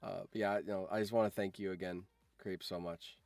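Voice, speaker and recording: A man's voice: sits low, flat delivery, brisk, gravelly, darkish tone, no room sound, faint music behind. Guy streamer just chatting, sweary and rambly, close dry sound. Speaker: livestream host. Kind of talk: casual livestream chat.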